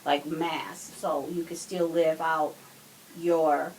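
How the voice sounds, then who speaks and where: A woman's voice: low voice, level tone, takes it slowly, a little mellow, slight background noise, poor audio. A woman in a casual podcast chat, earnestly explaining her views. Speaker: podcast co-speaker.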